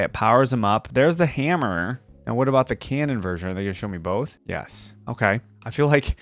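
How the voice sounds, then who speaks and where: A man's voice: mid-range voice, no room sound. A man giving casual gaming reaction commentary as a video host, thinking aloud in a conversational way.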